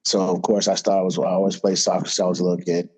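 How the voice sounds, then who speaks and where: Relaxed, low-voiced man telling stories. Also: quick pace, husky. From Florida, USA. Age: middle-aged. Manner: relaxed storytelling with fillers. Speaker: head wrestling coach, guest. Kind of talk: casual interview talk.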